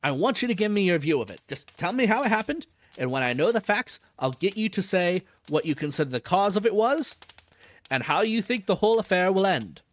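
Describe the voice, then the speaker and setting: A man voicing a polite young male reporter who asks brisk, courteous questions. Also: sits high, very animated. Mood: eager.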